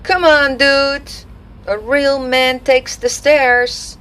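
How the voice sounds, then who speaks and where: A woman's voice: high-pitched, steady tone, drawn-out words, a bit bright, pitched above the performer's own voice, exaggerated voice. A woman voicing a cocky, teasing male office buddy in a breezy manner.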